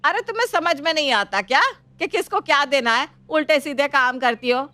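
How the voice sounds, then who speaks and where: A woman's voice: sits high, animated, fairly quick, pushed and bright, no room sound, pitched above the performer's own voice. A woman voicing a bossy middle-aged mother-in-law in a story, ordering and scolding.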